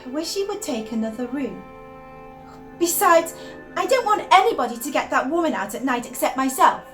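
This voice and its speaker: High-voiced, obsessive wife, acted by a woman. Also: expressive, moderate pace, faint music behind. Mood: secretive, confiding. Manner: confiding, intense, theatrical, with shifts from hushed to emphatic. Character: unravelling, obsessive young wife confiding secrets in her diary. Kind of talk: dramatic first-person monologue reading.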